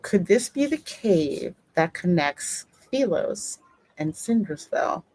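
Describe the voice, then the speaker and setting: A woman's voice: lowish voice, big pitch swings, darkish tone, breathy edge, no room sound, poor audio. A woman hosting a gaming channel, narrating a story game and adding her own spoken asides. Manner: reads narration slowly, reacting aloud in asides. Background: music behind the voice.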